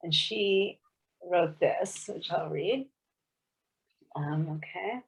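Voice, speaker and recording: A woman's voice: lowish voice, lively intonation, extremely slow, creaky, poor audio. A woman author speaking to a book club over a video call, thoughtful and hesitant, often restarting her sentences. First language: English. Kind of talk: casual explanatory talk on a video meeting.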